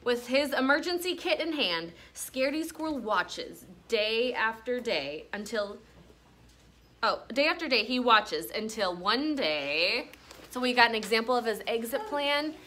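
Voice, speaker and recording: Bright, high-pitched woman teacher reading aloud. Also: unhurried. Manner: expressive, instructional, checks in with questions. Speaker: elementary school teacher. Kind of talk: classroom read-aloud with teaching commentary.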